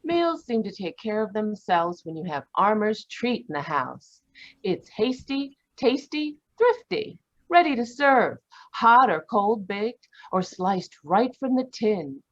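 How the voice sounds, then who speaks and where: A woman plays a cheery 1940s radio advertiser, persuasive and unhurried. Her pitch swings brightly up and down, and the audio is slightly dull. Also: big pitch swings. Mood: cheery, selling. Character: cheery, persuasive female canned-meat advertiser. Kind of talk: 1940s radio commercial reading. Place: live video call at home. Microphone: video call.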